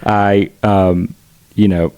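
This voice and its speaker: Low-voiced chatty man with a Southern accent. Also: flat delivery, slow pace, darkish tone, smooth, no echo. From United States. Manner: outgoing, chatty, laughs while telling stories. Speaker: podcast guest. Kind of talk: casual conversational storytelling.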